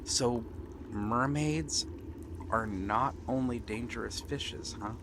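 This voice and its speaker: Clear water ambience surrounds an eager young pirate prince, acted by a man. He speaks slowly and earnestly in a medium-pitched voice, pausing often. The delivery is gentle and friendly, in the style of an ASMR fantasy roleplay. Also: noisy background. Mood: musing, tender. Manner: earnest, gentle, unhurried talk with pauses. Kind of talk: ASMR roleplay acting, one-sided dialogue. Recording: water and ambient sound effects behind. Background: seaside ambience, water sounds.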